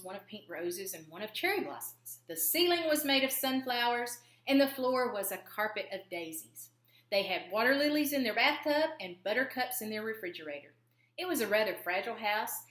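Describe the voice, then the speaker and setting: Female storybook reader: bright, very animated, dramatic pauses for young listeners, roomy echoey recording. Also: mid-range voice. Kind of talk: expressive children's storybook narration.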